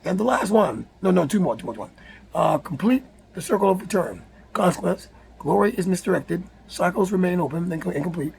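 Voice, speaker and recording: A man with a raspy, hoarse voice, reading a numbered list aloud with casual asides, often stumbling and rereading words. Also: high voice, lively intonation, a little fast, a little mellow, slight background noise, low-quality recording. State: hoarse from yelling. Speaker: spiritual video host.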